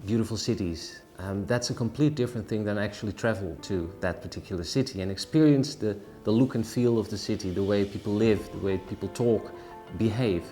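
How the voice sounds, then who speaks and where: A man speaking in an interview, halting and thoughtful, repeating words as he goes. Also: on the low side, dark tone. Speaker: delegate. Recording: music playing behind.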